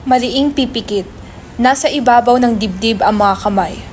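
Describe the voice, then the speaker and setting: A woman's voice: on the high side, moderate pace, slight background noise. A woman reading stage directions as scripted narration in a dramatic reading.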